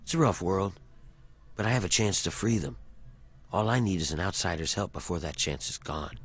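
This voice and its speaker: A man's voice: sits low, animated, normal speed, slightly rough, full character voice. An actor playing a weary male fugitive in a video game who asks an outsider to help free his enslaved people, speaking urgently and persuasively with a wry edge. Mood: earnest. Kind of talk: video game character dialogue. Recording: game audio under faint noise.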